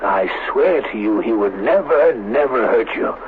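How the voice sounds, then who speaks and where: An actor playing an obsessed, proud male scientist in a 1970s radio drama, speaking in a deliberate, grandiose, theatrical manner. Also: medium pitch, expressive, phone-quality sound, natural voice. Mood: reassuring. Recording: noisy, thin 1970s radio broadcast.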